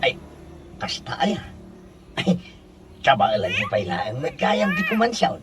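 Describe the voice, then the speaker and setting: A man's voice: medium pitch, big pitch swings, measured pace. Man playing a flustered Ilocano security guard, noisy recording. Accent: Filipino, Ilocano. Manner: flustered, hesitant, stammering exclamations. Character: flustered married male office security guard. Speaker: actor in a radio comedy drama. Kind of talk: Filipino radio comedy drama acting.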